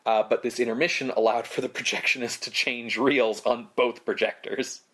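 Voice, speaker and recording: A man co-hosting a podcast, narrating prepared film history in measured phrases with casual asides. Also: mid-range voice, fairly even intonation, a bit bright. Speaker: podcast co-host.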